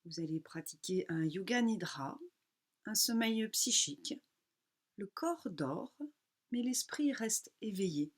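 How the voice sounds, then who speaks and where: A woman yoga teacher leads a guided relaxation in a mid-range voice. She speaks calmly and without hurry, giving her instructions in short phrases separated by pauses. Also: mid-range voice.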